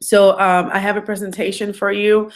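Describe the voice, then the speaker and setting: A woman's voice: mid-range voice, little pitch movement, normal speed. A woman from Puerto Rico with a Puerto Rican Spanish accent, Spanish her first language, giving an online recruiting training in an earnest way, with many fillers and restarts. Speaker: recruiting coach.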